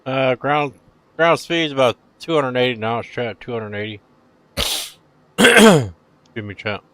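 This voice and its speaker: A middle-aged man streaming casually, chatting with viewers in a laid-back, rambling way and letting phrases trail off quietly. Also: mid-range voice, expressive, unhurried, no echo. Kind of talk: casual live-stream chat.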